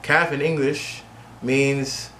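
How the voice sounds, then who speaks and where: A man's voice: medium pitch, level tone, slow pace, sharp tone. Man coaching English learners, echoey room. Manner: direct, repetitive coaching with frequent "okay?" checks. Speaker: English teacher. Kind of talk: instructional video lesson. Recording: echoey room with faint ambient noise.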